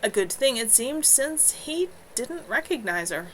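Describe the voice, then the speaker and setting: A woman's voice: a little high, big pitch swings, unhurried, a bit bright. A woman reading a novel aloud as narration, an amateur author on a livestream from home. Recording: noisy home livestream audio.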